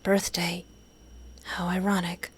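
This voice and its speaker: A middle-aged woman streaming a game, reading its story aloud as expressive narration with casual asides. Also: lowish voice, takes it slowly, breathy edge. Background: game music.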